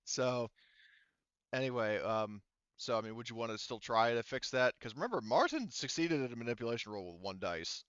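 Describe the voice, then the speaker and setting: A man's voice: mid-range voice, animated, a little fast, a bit bright, clean tone, no room sound, lo-fi sound. A man acting as game master, casually talking through rules and thinking aloud in a hesitant way. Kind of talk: casual tabletop game refereeing, explaining rules.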